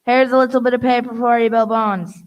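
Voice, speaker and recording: A woman acts out a blind old male pirate for a radio play. The voice is clean and smooth and pitched a little high. He wheedles like a beggar and commands with theatrical menace. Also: flat delivery, a little fast, natural voice. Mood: cold, businesslike.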